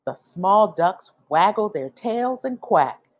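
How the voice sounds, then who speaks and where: A woman reading a children's picture book aloud, with expressive, deliberate phrasing for young listeners. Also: mid-range voice. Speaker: read-aloud storyteller.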